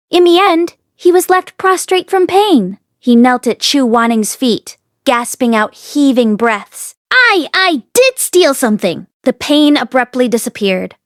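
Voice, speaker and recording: Female audiobook narrator, higher voice, expressive storytelling, close dry recording. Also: dry recording. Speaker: audiobook read-along narrator.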